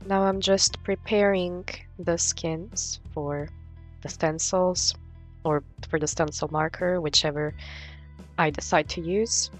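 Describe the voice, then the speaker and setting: A woman's voice: on the low side, steady tone, slow, faint music behind. A young woman narrating a casual vlog in an informal, sweary way. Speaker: vlogger, artist.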